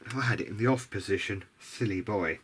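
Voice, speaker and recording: Male hobbyist host, lowish voice, thinking out loud in an informal, tentative way while working, some background noise. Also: fairly quick. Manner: musing, tentative, thinking aloud. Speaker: video diary host, electronics hobbyist. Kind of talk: casual unscripted bench commentary while working.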